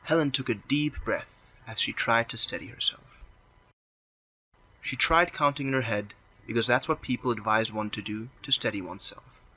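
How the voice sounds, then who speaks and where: A man's voice: mid-range voice, moderate pace, bright, forceful tone, husky, poor audio. A man narrating a scripted story as the narrator of an audio drama.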